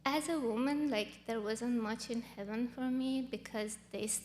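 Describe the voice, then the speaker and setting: A woman in her thirties from Iran, whose first language is Farsi, telling her own story in an interview, earnest and halting with many fillers. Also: a little high, fairly even intonation, a little mellow, big-room sound. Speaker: guest. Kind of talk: personal testimony interview.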